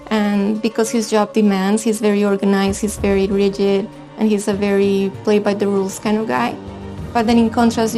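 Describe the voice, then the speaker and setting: A woman talks about her work in an interview. Her voice is soft-edged and dark, with very little pitch movement, over faint music. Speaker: game developer. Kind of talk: documentary interview.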